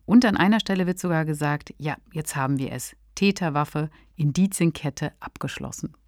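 Female podcast host, deep register, explanatory presentation, close dry studio mic. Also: normal speed, no echo. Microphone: close studio microphone.

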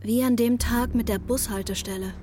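A woman's voice: fairly high pitch, fairly even intonation, normal speed, dark tone, natural voice. Woman acting a wry, troubled young woman over noise. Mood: wry, matter-of-fact. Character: intense, troubled young woman narrating her own breakdown. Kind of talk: first-person radio play narration.

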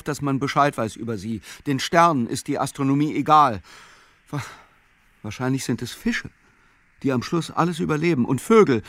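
An actor playing a brooding, solitary man who obsessively recites facts and lists in a radio play, deliberate and fragmented with hesitations. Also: mid-range voice, a bit bright, dry recording, natural voice. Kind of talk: literary radio play reading.

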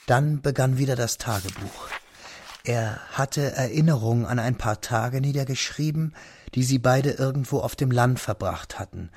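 An actor playing the narrator of a literary radio drama, telling the story of a diary in a sombre, measured way. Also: male voice, mid-range voice, darkish tone, slightly rough, no echo, clean studio sound. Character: sombre male narrator piecing together a friend's diary.

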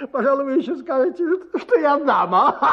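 High-pitched, swooping, tipsy army officer. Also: male voice, full character voice. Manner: theatrical, effusive, chuckling and drawling. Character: tipsy, boastful middle-aged army officer, wheedling and blustering. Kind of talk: dramatized radio short story, partly sung. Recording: slightly muffled, guitar music behind.

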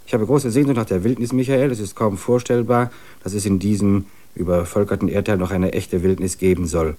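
A male actor as an earnest man around forty in a literary radio play. His voice sits low, and he reads his letters in a measured, serious way, longing for wild places. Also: fairly even intonation, warm tone, natural voice. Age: 40s.

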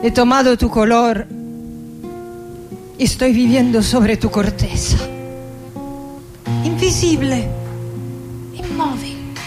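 A high-voiced, anguished woman poet in her forties, lovesick and facing death. She delivers a theatrical radio monologue slowly and intimately, leaving long pauses, with soft guitar music behind her. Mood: intimate, sorrowful longing. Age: 40s. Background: acoustic guitar music.

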